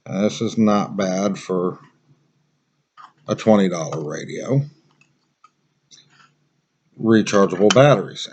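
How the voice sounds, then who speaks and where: A man giving a casual product review in a plain-spoken, halting, conversational way. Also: fairly low pitch.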